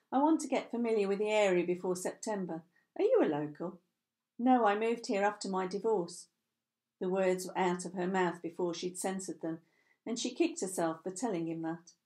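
A middle-aged woman reads a romance novel aloud at home. Her voice sits at a medium pitch. She tells the story in a measured, expressive way, giving both narration and dialogue as a storyteller would. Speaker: reader of a novel. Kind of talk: audiobook-style reading of romantic fiction. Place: small furnished room at home, indoors.

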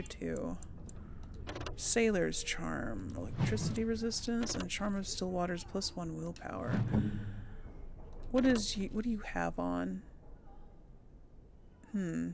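Woman, casual gaming commentary, unhurried, noticeable vocal fry, hesitant thinking aloud, faint game ambience. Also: medium pitch, noisy background. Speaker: gaming video host.

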